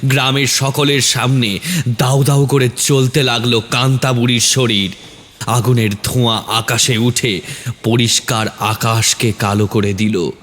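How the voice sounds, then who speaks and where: Male narrator for horror audio tales, mid-range pitch, heavy lingering reverb on the voice. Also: little pitch movement, unhurried, a bit bright. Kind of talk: scripted horror story narration. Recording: long reverb on the voice.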